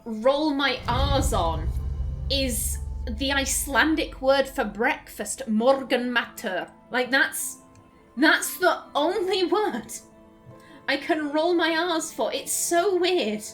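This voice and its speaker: A woman's voice: sits high, expressive, takes it slowly, fairly bright tone, faint music behind. A young woman chatting through gameplay as a let's play host, giggling and stumbling over her words. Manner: chatty, giggly, self-interrupting. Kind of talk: casual gameplay commentary.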